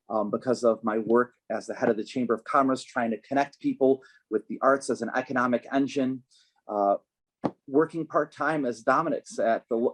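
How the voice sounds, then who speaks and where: A man running for office, answering interview questions in an earnest, measured way with frequent ums. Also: medium pitch. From United States, Chicago. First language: Polish. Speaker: political candidate, guest.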